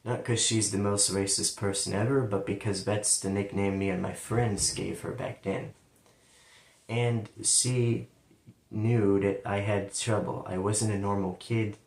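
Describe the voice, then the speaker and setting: Ohio-accented man, lowish voice, casual rambling storyteller, occasional W-for-L slip, sits back from the mic. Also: fairly even intonation, a little slow, gravelly, a little mellow. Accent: central Ohio. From London, Ohio, USA. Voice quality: sometimes turns L into a W sound. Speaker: vlogger telling his life story. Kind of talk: personal storytelling monologue. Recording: roomy, distant from the mic.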